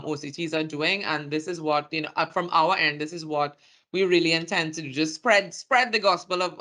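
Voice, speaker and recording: A speaker from Trinidad and Tobago with a Trinidadian accent, giving a workshop presentation in short, halting phrases. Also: medium pitch, sharp tone. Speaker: communications presenter.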